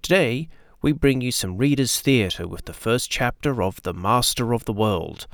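A man's voice: medium pitch, lively intonation, normal speed, creaky, no echo, studio quality. A man hosting a radio drama show, reading a polished, promotional scripted introduction. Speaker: host and narrator. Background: music.

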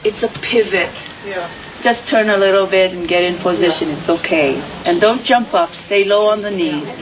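A woman teaching a hula class outdoors, giving brisk, encouraging directions. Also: medium pitch. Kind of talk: instructing a dance class. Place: outdoors on a lawn. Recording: muffled, distant, music behind.

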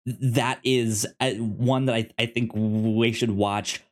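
A man's voice: medium pitch, fairly even intonation, slow pace. A man co-hosting a podcast in casual chat about films, phrasing his thoughts a little hesitantly. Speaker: podcast co-host.

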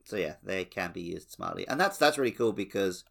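A man's voice: deep voice, lively intonation, brisk, creaky, a bit bright. A man co-hosting a casual gaming podcast in a chatty, jokey way. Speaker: podcast co-host. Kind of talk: casual chat about a video game.

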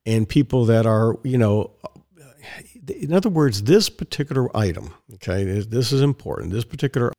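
A man hosting a solo podcast, his voice hoarse and raspy from strained vocal cords, talking in a rambling, digressive way with repeated words and restarts. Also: mid-range voice, expressive, normal speed, mellow. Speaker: podcast host.

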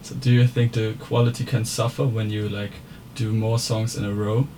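A man interviewing a musician, asking relaxed, friendly questions. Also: on the low side, moderate pace. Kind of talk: casual interview. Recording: steady background noise.

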